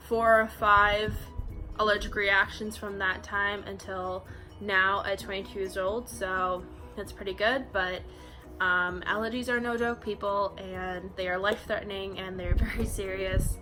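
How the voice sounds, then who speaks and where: A young woman in her early twenties chats casually to her camera, full of ums and "you know"s. Her voice is bright and middle-pitched, and a soft bed of music plays quietly underneath. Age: 20s. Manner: chatty, frequent fillers like um and you know. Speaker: vlogger. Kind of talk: casual facts-about-me vlog.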